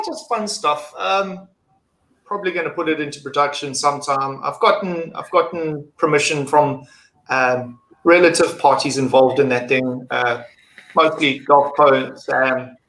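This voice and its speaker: A man's voice: fairly high pitch, a bit bright. A man with an English South African accent, chatting loosely as a guest on a live stream, rambling and swearing casually. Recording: roomy mic with faint noise.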